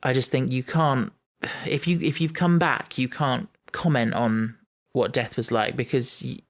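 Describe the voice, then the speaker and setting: A man's voice: medium pitch, fairly even intonation, a little slow. A man co-hosting a casual podcast chat, thinking aloud with many restarts and stumbles. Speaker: podcast co-host.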